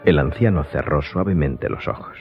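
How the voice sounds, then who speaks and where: A man's voice: rumbling low, normal speed. A man narrating a scripted radio drama as the storyteller. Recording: slightly dull audio, music behind.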